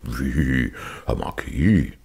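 A man playing a stately fairy-tale king with a slow, courtly manner. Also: extremely low pitch, animated, darkish tone, slightly rough, exaggerated voice. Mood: astonished.

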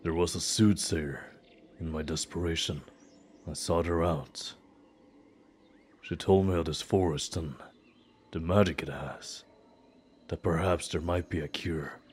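A man playing a desperate, grieving father who pleads humbly and haltingly in a fantasy audio drama. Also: low-pitched, fairly even intonation, breathy edge. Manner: slow, halting, earnest pleading.

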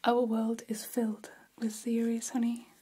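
A woman's voice: on the high side, monotone, a little slow, mellow. A woman whispering as an elegant, gossipy female vampire hairstylist in an ASMR role-play, confiding and soothing, close to the microphone. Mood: hushed, confiding. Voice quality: breathy, whispered.

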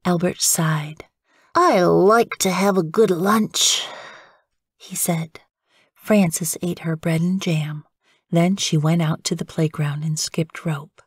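A woman's voice: lowish voice, mellow, breathy edge, no room sound, studio quality. A woman narrating a children's story as a scripted audiobook storyteller.

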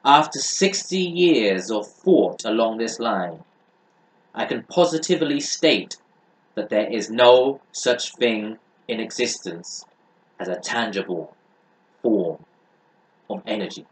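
A man's voice: fairly low pitch, lively intonation, slow, rough recording. Young man reading aloud, emphatic delivery. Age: young adult. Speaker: reader. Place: small book-lined room, indoors.

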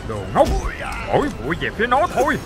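A man's voice: very high-pitched, wildly swooping pitch, fast talker, over loud music, exaggerated voice. A man voicing a bossy, irritable villain leader in a children's cartoon, scolding with contempt. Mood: exasperated, scornful.

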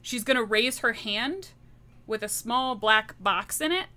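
A woman's voice: a little high, animated, unhurried, pushed and bright. A woman narrating her character's actions as a player in a tabletop roleplaying game.

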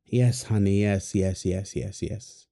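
A man's voice: on the low side, steady tone, takes it slowly, gravelly, mellow. A man performing a wholesome audio roleplay as a husband and dad, teasing his partner and cooing fondly at their baby with a playful manner.

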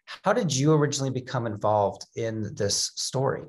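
A man's voice: mid-range voice, expressive, moderate pace. A man in his thirties hosting an interview over a video call, asking questions in a conversational, slightly hesitant way. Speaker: interview host. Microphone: video call webcam.

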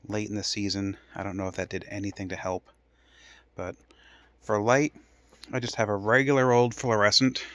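A man's voice: lowish voice, a bit bright, dry recording. A man giving a casual, unscripted tour as a home gardener, rambling and thinking aloud as he goes. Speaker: home gardener showing his grow room. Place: indoor basement grow room.

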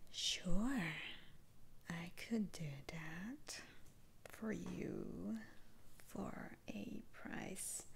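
A stern witch doctor played by a woman whispers in an ASMR roleplay. Her breathy delivery is extremely slow and deliberate, with a creaky edge. There is clear background noise behind her. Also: medium pitch, a little mellow. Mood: secretive, probing. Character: stern, principled female witch doctor removing curses.

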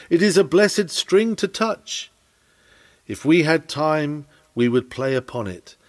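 A man's voice: on the high side, a little mellow. A man reading an old-fashioned sermon aloud in an earnest, declamatory preaching manner. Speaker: sermon reader.

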